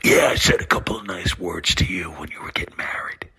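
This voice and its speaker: A man cutting a creepy, slow, whispered wrestling promo with a raspy voice, taunting his rivals. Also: low-pitched, fairly even intonation, pushed and bright. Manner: slow, creepy, taunting, laughs between threats.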